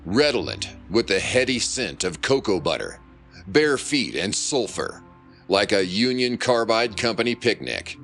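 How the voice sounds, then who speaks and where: A sardonic noir narrator for a radio mystery, low in pitch and very unhurried. His florid delivery is theatrical and savours each phrase, with lively swings in tone. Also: soft background music, full character voice. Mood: lurid scene-setting. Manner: theatrical, deliberate, wordy.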